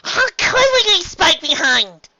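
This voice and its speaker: A man voicing a hyper, silly, confident female cartoon pony in a dramatic, drawn-out way, reading a story. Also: very high voice, sing-song, sharp tone, dry recording, muffled recording, pitched above the performer's own voice, heavily acted. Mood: incredulous.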